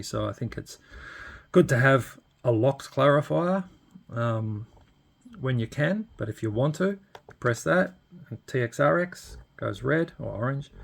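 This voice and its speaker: A man's voice: medium pitch, gravelly. A man giving a casual, unscripted hands-on demonstration, hesitant and thinking aloud as he goes. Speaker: hobbyist demonstrating radio equipment.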